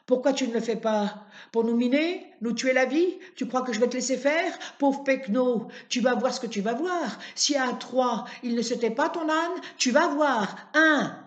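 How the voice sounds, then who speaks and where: Woman reading as an old woman, enraged, yelling, shrill, echoey lo-fi sound. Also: unhurried, hardly any pauses. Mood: furious. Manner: screaming insults, threatening. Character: furious shrieking old woman neighbor. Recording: dull roomy recording.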